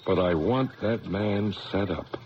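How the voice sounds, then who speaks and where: Low, grave radio-drama judge. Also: male voice, takes it slowly. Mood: grave, determined. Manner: earnest, resolute, formal. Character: grave, determined male judge bent on justice. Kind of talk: radio drama acting with first-person narration. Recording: muffled, noisy old radio recording.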